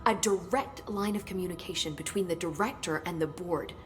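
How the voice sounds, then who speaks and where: An actress playing an earnest woman researcher at a secret agency, speaking softly and thoughtfully in game cutscenes. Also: fairly low pitch, brisk, faint music behind, close to an everyday voice. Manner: soft, hesitant, thoughtful with sighs. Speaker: game character. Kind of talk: video game cutscene dialogue.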